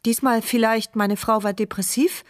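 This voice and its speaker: An actress playing a wry, watchful woman narrating her silent inner thoughts in a measured radio drama style. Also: on the high side, steady tone, no echo, close to an everyday voice. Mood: suspicious. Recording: studio radio drama with music behind.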